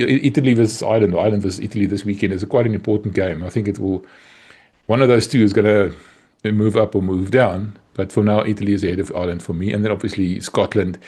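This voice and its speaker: A man's voice: lowish voice, steady tone, quick pace, mellow, husky. A man with an Afrikaans accent co-hosting a rugby podcast, talking in an easygoing, teasing way late at night, sounding a little tired. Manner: easygoing, teasing, thinks aloud. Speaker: podcast co-host. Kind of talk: casual chat.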